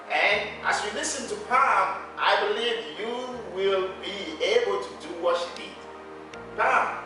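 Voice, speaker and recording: A man who teaches English hosts an interview, talking in an emphatic coaching tone and urging his students on. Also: high-pitched. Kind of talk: motivational interview. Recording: echoey, distant mic, music behind.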